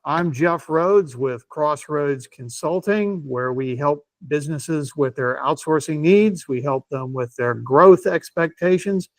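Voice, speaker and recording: A man's voice: fairly high pitch, takes it slowly, hardly any pauses, dry recording. A man hosting a business interview podcast, introducing his guest in an upbeat, promotional way with occasional fillers. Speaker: podcast host, consultant.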